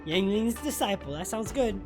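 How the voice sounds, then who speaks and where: A woman gives casual commentary as she streams a game, in a mid-pitched voice. She is slangy and blunt, reacting offhand. Game music is clearly audible behind her. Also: animated, normal speed. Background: video game music.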